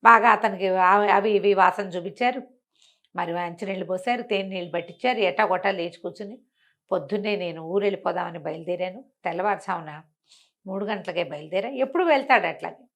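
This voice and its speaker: A woman narrates a folk tale for bedtime in an everyday, chatty style. Her voice sits at a middle pitch. She is expressive, often asking rhetorical questions and breaking into exclamations as she tells it. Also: fairly quick. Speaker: storyteller. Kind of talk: oral bedtime storytelling, conversational.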